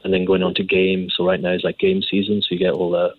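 A man's voice: sits low, completely flat delivery, fast, no echo, phone-quality sound. A Scottish man, an executive chef, answering questions conversationally in an interview. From Scotland.

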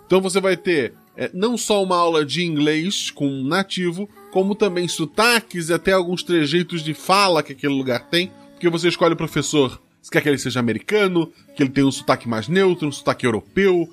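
A man's voice: a little high, fairly quick, few pauses, a bit bright, soft background music. A man from Brazil hosting a tabletop roleplaying podcast, reading an ad and explaining the game rules in a chatty, informal, persuasive way. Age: adult, played RPGs since youth. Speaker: game master and podcast host. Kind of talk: podcast ad read and rules intro.

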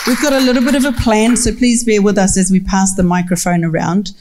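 A woman's voice: mid-range voice, fairly even intonation, fairly quick, darkish tone, a little hiss or hum. A woman with a New Zealand accent giving a live welcome and introductions as co-moderator. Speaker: co-moderator, film commission representative. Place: live festival talk, handheld microphone.

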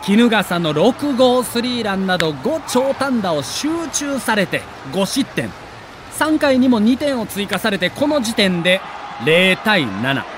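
A male storyteller narrates a scripted sports documentary with a Kansai accent. His voice sits high and swings widely in pitch, giving the telling a theatrical, dramatic shape. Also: background noise. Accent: Kansai Japanese.

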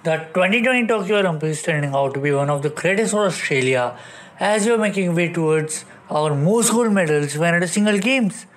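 A man's voice: on the high side, animated, fairly quick. A man reporting a sports news segment in a read-out bulletin style.

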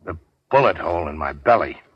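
An actor playing a weary, sardonic gangster in a 1940s radio drama, terse and slangy. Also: male voice, sits low, expressive, a little fast. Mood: strained, weary. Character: weary hard-boiled gangster, wounded, sardonic and unafraid. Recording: dull 1940s radio recording.

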